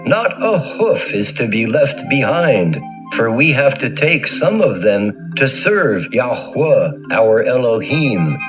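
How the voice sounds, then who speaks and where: A man playing a grave, resolute Hebrew prophet in a scripture dramatization, speaking firmly and deliberately. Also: lowish voice, low-quality recording. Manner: deliberate, firm.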